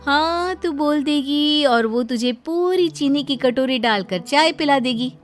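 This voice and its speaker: A woman's voice: high-pitched, measured pace, faint music behind. A woman voicing a teasing, outspoken young adult daughter in a cartoon. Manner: teasing, mocking.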